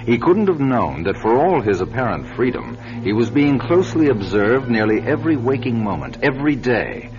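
A man giving measured, scripted dramatic narration in a vintage radio drama. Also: medium pitch, big pitch swings, dull audio. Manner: measured, storytelling. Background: music.

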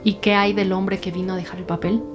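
A woman's voice: mid-range voice, little pitch movement, lightly acted. Actress as commanding woman lead detective, music behind. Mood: authoritative. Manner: brisk, authoritative questioning. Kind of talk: scripted audio drama dialogue.

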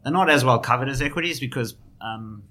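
A man with a South African accent and a bright, forceful voice, talking as an interview guest. He is middle-aged and talks in a hesitant, conversational way, full of fillers and restarts. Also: medium pitch, fairly quick. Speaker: guest, finance journalist.

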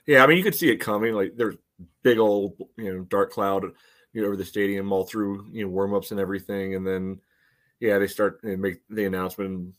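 A man's voice: on the low side, fairly even intonation, fairly quick, a bit bright. A man co-hosting a sports podcast in casual, conversational, informal talk.

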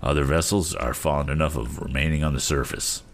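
Man playing a deep-voiced polite sea captain. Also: steady tone, a little fast. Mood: calm, reassuring. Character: hearty, polite seasoned male sea captain.

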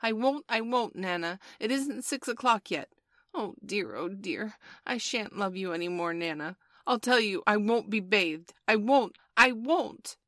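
A woman's voice: fairly high pitch, takes it slowly, creaky, hardly any pauses, a bit bright, no echo, studio quality, pitched above the performer's own voice, exaggerated voice. A woman voicing a stubborn, plaintive little boy in a storybook, petulant and insistent. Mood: defiant whining. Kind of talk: storybook character voice.

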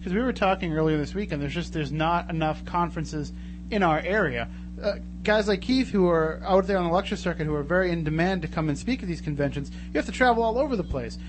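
A man's voice: sits high, fast talker. An American man hosting a live talk radio show in a casual, chatty, informal way. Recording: noisy radio show audio with hum.

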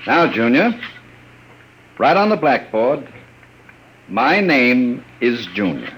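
An actor in an old radio drama playing a smooth, domineering criminal mastermind, mock-affectionate and coolly menacing. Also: male voice, medium pitch, big pitch swings, slow pace, fairly bright tone. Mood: instructing. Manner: mock-affectionate, commanding, theatrical. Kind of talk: 1950s radio drama acting. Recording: noisy vintage radio recording.

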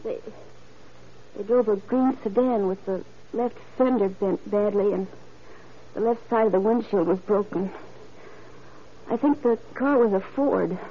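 A woman playing a shaken young murder witness in an old-time radio drama, halting, haunted and dramatic. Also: mid-range voice, natural voice. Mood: halting recollection. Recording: dull, noisy old radio recording.

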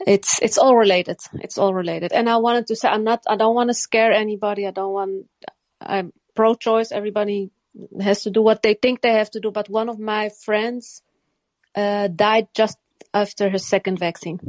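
A woman's voice: mid-range voice, fairly even intonation, normal speed, no echo. A woman from Morocco, raised in the Netherlands, talking earnestly in a casual chat and often repeating and restarting her phrases.

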